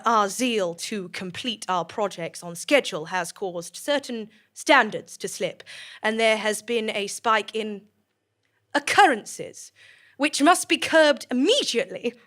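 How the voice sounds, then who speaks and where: A woman playing a formal, composed director of a sinister research facility, giving measured, officious speeches to staff in an audio drama. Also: on the high side, animated, a bit bright.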